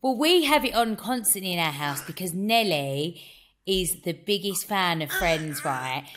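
A woman, co-hosting a podcast from home, telling stories in an animated, chatty way full of "like"s. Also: lowish voice, a little slow, background noise. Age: 30s. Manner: chatty, animated storytelling with many "like"s and "honestly"s. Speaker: podcast co-host. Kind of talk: casual chat. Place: home, on a video call.

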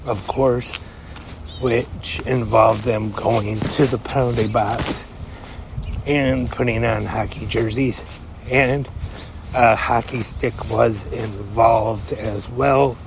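A man's voice: medium pitch, vocal fry, noisy background. A man hosting a solo wrestling podcast, talking with a stuffed-up nose from a cold. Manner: slow, measured and even, with pauses. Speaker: podcast host. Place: walking to work outdoors.

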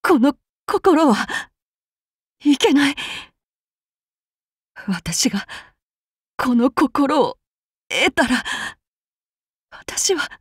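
Cold, unstable young samurai avenger for a game. Very slow, dry studio read. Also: female voice, sits high, no room sound, full character voice. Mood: frightened. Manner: slow, measured, calm then suddenly fearful. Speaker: voice actress for a game character. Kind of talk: game character voice lines.

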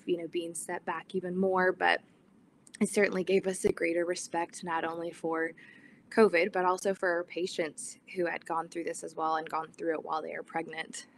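A woman's voice: on the low side, slight background noise. A young woman on a video call, telling her story earnestly as a nursing student on a panel, with some fillers. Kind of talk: panel talk.

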